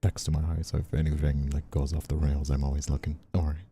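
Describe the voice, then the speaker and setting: Very deep, dark, gravelly man's voice. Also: level tone, fast. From Brazil. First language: Portuguese. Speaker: streamer and voice actor, hosting. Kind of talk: casual livestream chat.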